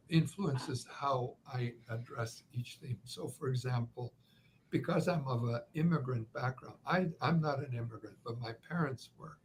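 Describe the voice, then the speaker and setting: Guest: measured man, faint Ukrainian accent, mid-pitched voice, a little noise behind. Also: low-quality recording. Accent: slight Ukrainian. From Canada. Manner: measured, deliberate, with frequent "uh" pauses. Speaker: guest, author and journalist. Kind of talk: reflective interview answers.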